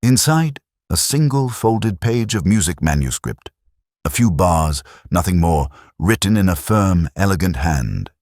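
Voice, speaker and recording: A man narrating a scripted first-person detective story in a measured, literary, brooding manner. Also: deep voice, expressive, darkish tone, no echo, studio quality.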